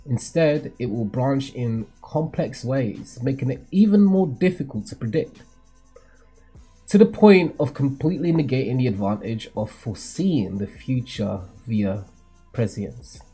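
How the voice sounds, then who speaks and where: A man voicing a solemn, cryptic mentor who sees the future, in a theatrical, measured, formal manga read-aloud. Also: mid-range voice, warm tone, breathy edge, soft background music. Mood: grave, cryptic.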